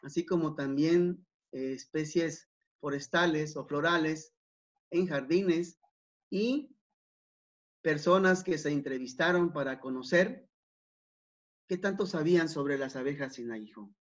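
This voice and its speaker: A man giving an academic talk over slides, unhurried, with many fillers and repeated words. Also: on the high side. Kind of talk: conference presentation over slides. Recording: dull, lo-fi online talk.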